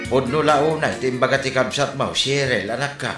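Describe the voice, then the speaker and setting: A man's voice: medium pitch, moderate pace, close to an everyday voice. A man playing a reproving father of grown daughters in an Ilocano comedy drama, lecturing with an Ilocano accent. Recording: roomy echo, faint music.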